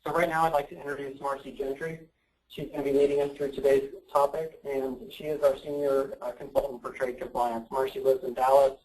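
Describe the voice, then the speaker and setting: A man's voice: medium pitch, flat delivery, a little fast, a little mellow, far from the mic, low-quality recording. A man hosting a webinar, getting over a cold with his voice giving out, speaking in a plain, conversational way. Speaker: webinar host. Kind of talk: webinar introduction and announcements.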